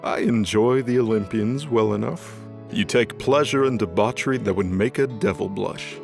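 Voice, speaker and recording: A man's voice: lowish voice, normal speed, gravelly, music in the background. A man playing a haughty, flippant emperor god in an audio drama, speaking with bored disdain and theatrical sighs. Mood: haughty lecturing.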